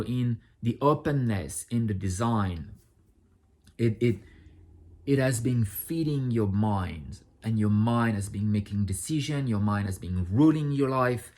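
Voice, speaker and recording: A man's voice: medium pitch, darkish tone. A man talking straight to his audience in an unscripted personal monologue, blunt and profane, building to impassioned outbursts. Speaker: vlogger sharing personal insights.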